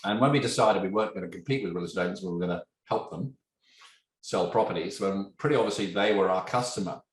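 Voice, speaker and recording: Fifties English man, Aussie-tinged accent, lower pitch, lively storytelling guest in an echoey room. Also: fast talker. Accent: English, softened by years in Australia. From England. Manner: animated storyteller, poses questions to his listener. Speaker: guest, tech entrepreneur. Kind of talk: conversational podcast interview. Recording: roomy echo.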